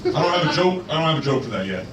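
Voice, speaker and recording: A man's voice: fairly high pitch, very animated, a loud crowd, voice pushed up. A man voicing a male stand-up comic fumbling through an awkward, tasteless set in a played clip. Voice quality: halting, awkward stand-up delivery. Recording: distant echoey club recording, noisy.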